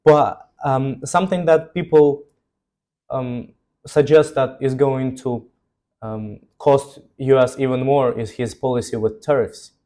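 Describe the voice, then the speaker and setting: A man's voice: medium pitch, fairly even intonation, a little slow, dark tone. A young man hosting a podcast, putting questions to his guest in somewhat hesitant phrasing. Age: young adult. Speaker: podcast host, interviewer. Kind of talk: interview questioning.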